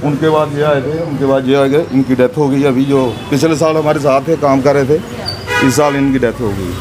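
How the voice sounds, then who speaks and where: A man's voice: medium pitch, fairly even intonation, normal speed. A middle-aged man, a shopkeeper, interviewed inside his small shop with a handheld mic, talking plainly and repeating himself. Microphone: handheld mic held to him. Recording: street noise behind.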